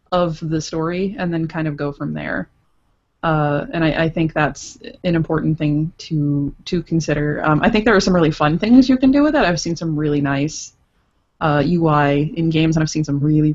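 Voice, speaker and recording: Woman, low register, gravelly fry, casual explanatory guest, hesitant phrasing, somewhat muffled lo-fi sound. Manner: hesitant, stop-and-start phrasing. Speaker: guest, visual novel creator. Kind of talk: casual explanatory interview talk.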